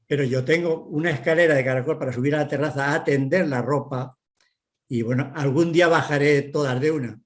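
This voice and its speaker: A man with a Castilian Spanish accent giving spontaneous testimony, repeating himself in a halting, insistent way. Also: fairly high pitch. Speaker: dependent person and carer.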